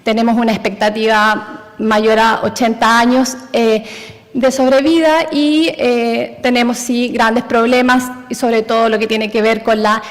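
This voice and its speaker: A woman's voice: fairly high pitch, little pitch movement, a little slow, long reverb tail. A Chilean woman giving a conference presentation, with many hesitant fillers. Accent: Chilean Spanish. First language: Spanish. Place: large conference hall.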